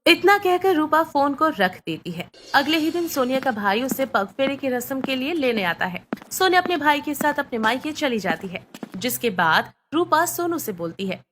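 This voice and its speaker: A woman narrates a scripted bedtime story. Her voice sits fairly high, and there is noticeable noise in the background behind her. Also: big pitch swings, normal speed, fairly bright tone.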